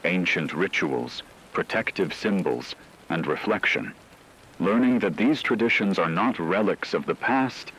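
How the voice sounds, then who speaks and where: A man's voice: sits low, a bit bright, slight background noise, exaggerated voice, low-quality recording. A man playing a grave radio news reporter, narrating supernatural terror in a solemn, formal, ominous scripted style.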